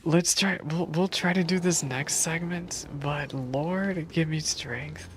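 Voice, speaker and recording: Low-voiced woman game streamer. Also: takes it slowly. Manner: informal, thinks aloud. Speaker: game streamer reacting to story. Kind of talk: casual live stream commentary. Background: game music.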